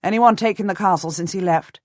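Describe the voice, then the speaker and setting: A woman voicing a steady, cautious nobleman husband who speaks in a calm, measured, commanding way. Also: mid-range voice, dry recording, clean studio sound, lightly acted. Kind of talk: audiobook character voice.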